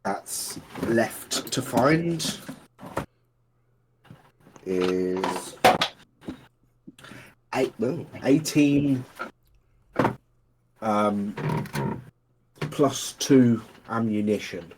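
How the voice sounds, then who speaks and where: A man narrating as dungeon master in a casual, hesitant way, with many pauses and fillers. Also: mid-range voice, animated, noisy background. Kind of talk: narrating a tabletop game.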